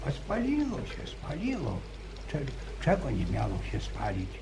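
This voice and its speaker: Older superstitious village grandfather, gravelly and slow, noisy vintage radio-play recording. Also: mid-range voice, wildly swooping pitch, exaggerated voice. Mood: stubborn. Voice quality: gravelly, halting restarts. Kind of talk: 1950s radio drama acting.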